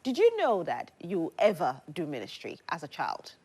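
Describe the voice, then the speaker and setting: Lively woman TV interview host. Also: fairly low pitch, moderate pace, husky. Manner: lively, playful, frequent short acknowledgments. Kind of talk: TV interview hosting.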